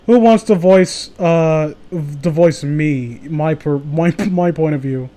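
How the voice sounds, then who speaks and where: Casting: higher-voiced man, sarcastic and foul-mouthed, riffing casually. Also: takes it slowly, mellow. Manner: sarcastic, profane. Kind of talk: casual joking commentary on read-aloud fan fiction.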